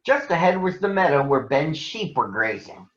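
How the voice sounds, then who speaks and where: A man reading a children's story aloud in an expressive, measured manner. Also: fairly high pitch. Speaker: storybook reader. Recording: dull, echoey room.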